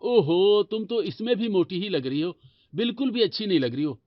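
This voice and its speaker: A man's voice: sits high, animated, normal speed, no echo, lo-fi sound. A man playing a vain young husband in an audio story, blunt, critical and dismissive. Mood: disgusted, belittling.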